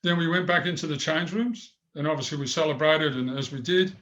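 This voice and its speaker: A man's voice: a little high, brisk, a bit bright. An older man with a big voice, telling rambling stories in an interview. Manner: rambling anecdotes with many restarts. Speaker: former goalkeeper, guest. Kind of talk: storytelling interview.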